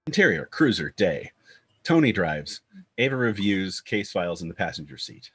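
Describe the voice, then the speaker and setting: A man's voice: low voice, sing-song, moderate pace, a bit bright, husky. A man reading screenplay stage directions as narrator, in short clipped scripted phrases. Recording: guitar music bed under the voice.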